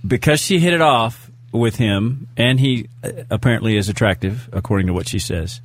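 A man's voice: fairly low pitch, normal speed, no echo. A man co-hosting a casual podcast chat, telling self-mocking stories. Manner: chatty storyteller, self-mocking. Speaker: podcast co-host.

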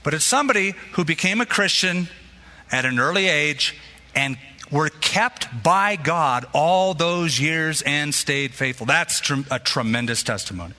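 A male pastor delivers a sermon with a very bright, forceful tone, and his voice rings out in a hall with a long reverb. He tells stories in a lively way and acts out the voices of the people he quotes. His voice sits somewhat high for a man. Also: a little slow.

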